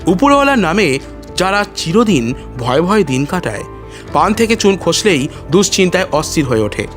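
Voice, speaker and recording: A man's voice: high voice, very animated, normal speed, fairly bright tone. A man narrating a dramatic audio story in a literary, suspenseful manner. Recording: music behind the voice.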